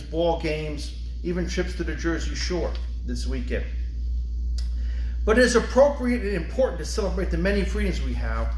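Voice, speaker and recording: Higher-voiced American man in an echoey room. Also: darkish tone. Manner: solemn, earnest, formal. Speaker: librarian presenting a holiday reading. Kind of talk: prepared commemorative speech, read aloud. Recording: echoey room with faint background noise.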